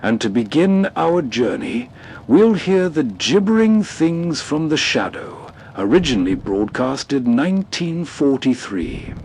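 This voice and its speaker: A man's voice: fairly high pitch, gravelly, a little mellow. A man hosting with scripted, atmospheric narration. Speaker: host. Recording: faint background noise.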